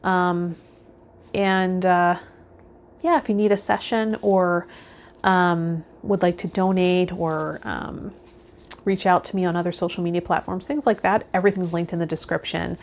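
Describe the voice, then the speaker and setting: A woman hosting a tarot vlog, speaking in a measured, instructive way straight to the listener. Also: on the low side, steady tone, slight background noise. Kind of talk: reading tarot card meanings aloud, then casual guided talk to viewers.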